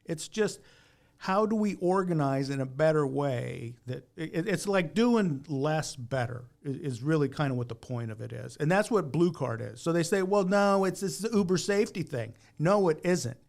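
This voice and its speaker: A man's voice: on the high side, expressive, moderate pace, creaky, a little mellow. A man chatting casually about his trade on a podcast, plainspoken, folksy and emphatic. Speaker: podcast panelist and former firefighter. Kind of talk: casual opinionated shop talk.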